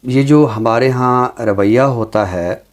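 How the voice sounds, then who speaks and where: Measured man giving reflective social commentary. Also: medium pitch. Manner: measured, deliberate, explanatory; earnest, literary vocabulary. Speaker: talk show presenter and commentator on society and literature. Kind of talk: reflective spoken commentary in a talk show.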